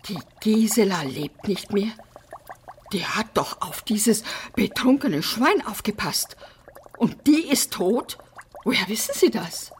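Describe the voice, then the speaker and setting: An actress playing a brash, eccentric apartment resident in a radio drama, theatrical, flippant and defiant. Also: female voice, mid-range voice, animated, slow pace, a little hiss or hum. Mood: agitated. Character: brash, eccentric, bossy female apartment resident opening others' letters. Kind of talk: German radio drama acting.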